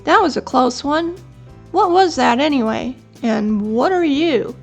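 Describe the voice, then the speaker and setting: A newly hatched little boy chick from a children's story, voiced by a woman. He sounds full of wonder, high and light, with a faint gravelly grain. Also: lively intonation, measured pace, faint music behind, heavily acted. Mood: curious. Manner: wide-eyed wonder.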